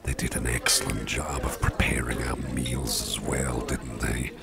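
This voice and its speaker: A man's voice: steady tone, over loud music, exaggerated voice. A man playing a big, muscular, jovial boss with a hearty appetite in an ASMR dinner-date roleplay, speaking in a folksy, soothing, reassuring way. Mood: pleased, genial. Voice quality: very deep, gravelly. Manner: slow, soothing, chuckling, folksy, repeats phrases reassuringly. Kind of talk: ASMR roleplay, personal attention. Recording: restaurant crowd murmur behind the voice.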